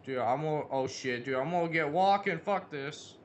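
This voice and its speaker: A man's voice: a little high, animated, normal speed, a little hiss or hum. A man chatting casually with a friend as he comments on a video game, with slangy, sweary talk. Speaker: gamer in a co-op let's play.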